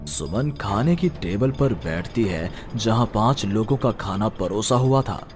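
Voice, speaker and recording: Slow, deep male horror narrator. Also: lively intonation. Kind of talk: scripted horror story narration. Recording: music behind the voice.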